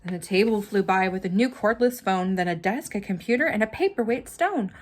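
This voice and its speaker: A woman reading a children's story aloud in an expressive, dramatic manner, with simple asides for young listeners. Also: medium pitch, a little fast. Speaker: storybook reader.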